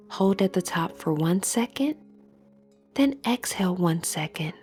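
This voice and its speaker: A woman leading a scripted guided meditation as an instructor, in a soothing, measured, softly breathy delivery. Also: mid-range voice. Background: soft background music.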